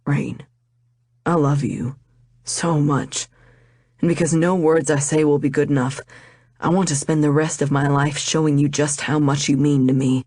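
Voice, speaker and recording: A woman voicing an earnest young man proposing, speaking softly and deliberately. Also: sits low, steady tone, no echo, clean studio sound. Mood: tender. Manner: soft, heartfelt, deliberate.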